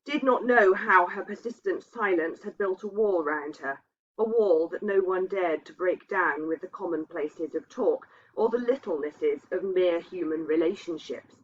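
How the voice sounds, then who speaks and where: Husky woman reading, slightly muffled. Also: lowish voice, measured pace. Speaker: reader. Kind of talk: scripted literary ghost story narration. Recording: dull, muffled lo-fi sound.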